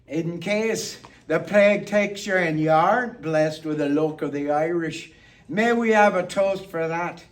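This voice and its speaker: A man performing a theatrical monologue of toasts in a playful, tipsy toastmaster manner with deliberate pauses, recorded on a distant microphone. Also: fairly high pitch.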